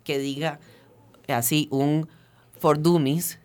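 A man's voice: a little high, fairly even intonation, takes it slowly, a little mellow. Earnest Venezuelan man explaining at length. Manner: earnest, detailed, with frequent fillers and restarts. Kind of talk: radio interview, explanatory.

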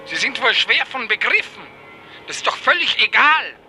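An actor playing a pompous, capricious, commanding despot in a 1950s radio play. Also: male voice, very high voice, sing-song, moderate pace, pushed and bright, soft background music, heavily acted. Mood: world-weary. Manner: imperious, haughty, commanding. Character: pompous, capricious male despot, a general director in a dream.

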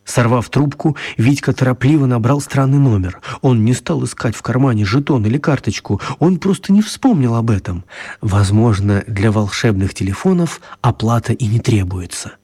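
A man narrating a scripted story for a radio play, with dramatic, deliberate phrasing. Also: mid-range voice, mellow, husky, no echo.

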